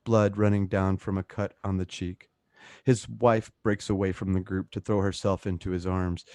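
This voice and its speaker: A man's voice: lowish voice, a little mellow, no room sound. A man narrating a script's scene directions as the narrator of a radio play. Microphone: close home microphone.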